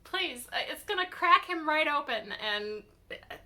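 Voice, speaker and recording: A woman talking casually in a reaction vlog, with a halting, expressive fangirl delivery and dramatic pauses. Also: high voice. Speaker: vlogger sharing reactions to fan fiction.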